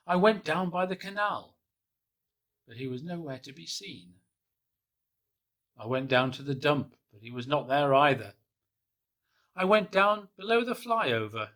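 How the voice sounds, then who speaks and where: A man gently reading a children's picture book aloud in the first person, in a soft, hushed and unhurried way. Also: fairly high pitch. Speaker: storybook reader.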